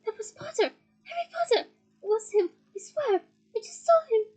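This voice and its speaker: A woman playing a young student who blurts out breathless exclamations. The voice shoots very high and loud. It comes from a storybook reading. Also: very animated, moderate pace. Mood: excited. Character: excited young student shouting.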